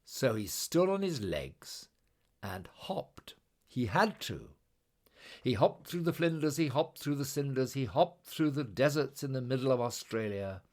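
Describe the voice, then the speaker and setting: A man reading a children's story aloud in a dramatic, rhythmic, expressive way, with incantatory repetition. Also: fairly low pitch. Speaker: storybook reader.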